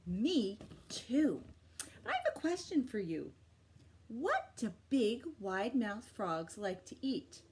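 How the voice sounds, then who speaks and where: A woman hosting a children's storytime, reading aloud with expressive, encouraging, exaggerated delivery. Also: fairly high pitch, takes it slowly, husky. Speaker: library storytime host. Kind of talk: animated read-aloud for young children.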